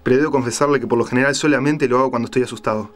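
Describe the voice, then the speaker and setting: A man performs a captive journalist in a dramatized story. The voice is medium in pitch, fearful and careful, pleading to stay on good terms. Music runs behind it. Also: fairly even intonation, racing, close to an everyday voice. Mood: anxious, placating. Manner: hesitant, placating, careful. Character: frightened captive male journalist, placating and pleading. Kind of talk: dramatized audiobook reading.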